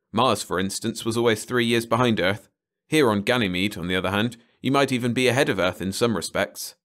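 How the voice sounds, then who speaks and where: A man's voice: fairly low pitch, fairly bright tone. A man reading a short story aloud as a scripted bedtime audiobook narration.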